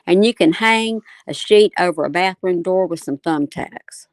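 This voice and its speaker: Earnest woman with a lowish voice. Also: moderate pace, dry recording. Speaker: panel host on a live discussion show. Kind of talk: casual opinion talk.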